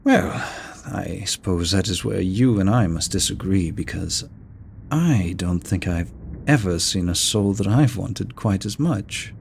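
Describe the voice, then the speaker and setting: A man's voice: low voice, expressive, creaky, a little mellow, slight background noise, full character voice. A man voicing a seductive, courteous immortal incubus demon in a roleplay audio drama, speaking to the listener in a silky, theatrical way. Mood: coaxing, intrigued. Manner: slow, silky, theatrical, courteous with drawn-out words. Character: seductive, teasing male incubus demon, immortal deal-maker.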